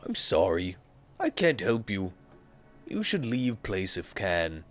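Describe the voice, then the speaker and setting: Guy doing a loud clipped tough-guy runner, broken english, lively. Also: deep voice, slow, soft background music, voice pushed up, full character voice. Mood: blunt questioning.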